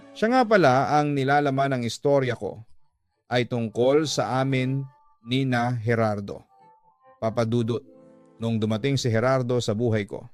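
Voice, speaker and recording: A man narrating a scripted dramatic letter as the host of a radio drama. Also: medium pitch.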